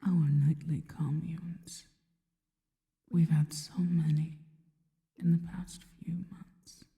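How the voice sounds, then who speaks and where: Casting: actress as adoring, sinister female spider creature for ASMR roleplay, low breathy whisper, very dark and soft, drenched in reverb. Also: level tone. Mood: seductive, hungry. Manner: slow, deliberate, intimate, formal phrasing. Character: seductive spider-woman monster, adoring, sinister, slow and reverent. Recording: long reverberant echo.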